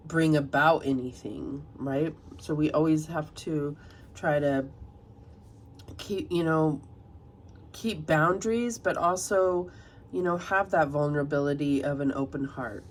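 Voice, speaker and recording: A woman's voice: low voice, a little hiss or hum. A woman reading tarot cards and reading passages aloud from a guidebook, slightly nasal from a cold. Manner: soft, unhurried, reads passages aloud. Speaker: tarot reader.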